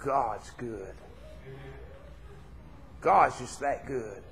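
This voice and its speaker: A man's voice: medium pitch, animated, extremely slow, vocal fry, fairly bright tone, a little hiss or hum. A middle-aged man with a Southern US accent preaching an informal, folksy, earnest sermon, repeating phrases for emphasis. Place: church hall stage, indoors.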